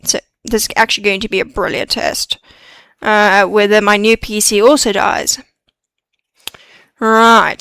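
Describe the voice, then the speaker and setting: Slow, clear-voiced woman thinking aloud. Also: fairly high pitch, fairly bright tone, dry recording. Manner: chatty, mutters to herself while working. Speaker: 3D artist streaming a modeling session. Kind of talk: live-stream tutorial, thinking aloud.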